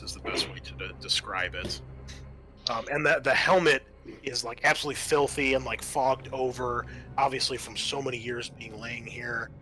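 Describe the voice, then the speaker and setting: A man narrating a tabletop roleplaying game as game master, in a halting, descriptive manner. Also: mid-range voice, pushed and bright.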